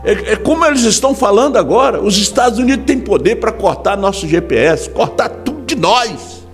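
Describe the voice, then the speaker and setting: A man preaching a sermon as pastor in a Brazilian Portuguese accent, impassioned, swinging from hushed drops to sudden shouts. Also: high-pitched, lively intonation, a little fast, long reverb tail, faint music behind. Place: large church hall.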